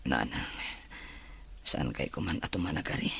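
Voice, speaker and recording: A man's voice: very deep voice, lively intonation, normal speed, creaky, fairly bright tone, slight background noise, exaggerated voice. A man playing a grumbling, dismissive grown son and husband in a radio drama. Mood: weary irritated.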